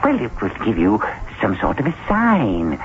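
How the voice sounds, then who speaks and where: A man's voice: lowish voice, huge pitch swings, unhurried. An actor playing an eccentric, cryptic Englishman in a radio drama, theatrical and insistent. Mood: mysterious, coaxing. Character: eccentric, cryptic Englishman pressing a mysterious stone. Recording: tinny, noisy old radio broadcast.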